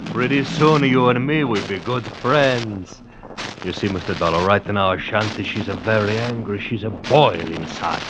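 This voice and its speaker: A man's voice: on the low side, normal speed, lightly acted. A man playing an emotional foreign circus owner and animal trainer, speaking broken English in a radio drama. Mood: coaxing, tender. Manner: emotional, broken grammar, swinging from coaxing to indignant. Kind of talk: 1950s radio drama acting. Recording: noisy old radio recording.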